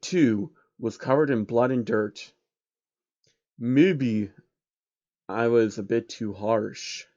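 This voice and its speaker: A man's voice: mid-range voice, dry recording, lo-fi sound. A man reading fan fiction aloud as narrator, with casual asides.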